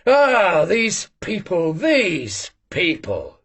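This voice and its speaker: A man voicing a loud, boisterous old male Cossack hunter who shouts and teases. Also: wildly swooping pitch, very slow, vocal fry, husky, full character voice. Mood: bantering. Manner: booming, teasing, shouting.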